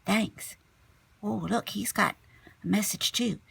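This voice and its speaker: A woman teacher reading a children's picture book aloud expressively, sometimes dropping to a hushed tone. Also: medium pitch, slow, gravelly, slightly rough. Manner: animated storyteller, drops to hushed whispery tones.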